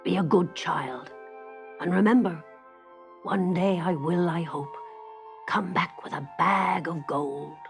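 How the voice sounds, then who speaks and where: A woman's voice: fairly low pitch, slow, creaky, long pauses, soft background music. A woman voicing a tender, wistful grey-haired sailor father in a storybook. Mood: tender farewell.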